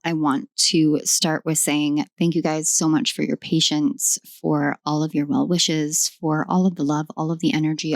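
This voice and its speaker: A hoarse young woman giving a casual tarot reading at home, rambling and affectionate, with many ums. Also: low voice, dry recording, studio quality. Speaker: tarot reader. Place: at home in a room.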